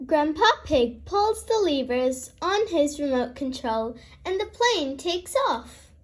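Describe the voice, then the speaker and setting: High-pitched, animated woman storyteller. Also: slow. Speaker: host and storyteller of children's read-alouds. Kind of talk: expressive children's storybook read-aloud.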